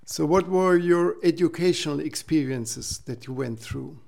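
A man hosting an interview podcast, speaking in a polite, formal and deliberate way. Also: fairly high pitch, vocal fry, a little mellow. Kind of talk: measured podcast introduction and interview questions.

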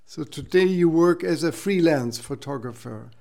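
A man speaks as a podcast host and interviewer. His voice sits a little high for a man yet is mellow, with clear fry in it. His delivery is measured, polite and formal.

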